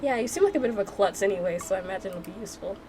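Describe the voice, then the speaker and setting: A woman voicing a blunt, gruff but caring young man in an anime visual novel, curt and awkwardly concerned. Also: medium pitch, rapid-fire, over music, lightly acted.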